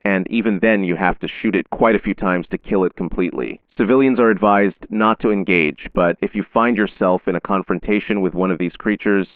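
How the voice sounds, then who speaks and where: A man narrating a scripted horror safety bulletin in a measured, solemn, formal announcer manner. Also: low-pitched, smooth, no room sound, phone-quality sound.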